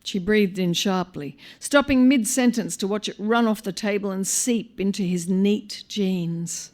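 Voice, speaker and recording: Aussie woman reading a short story out loud, wry and expressive, pauses for effect, normal mid pitch. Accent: Australian. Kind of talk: live literary story reading. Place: live on stage at a small venue.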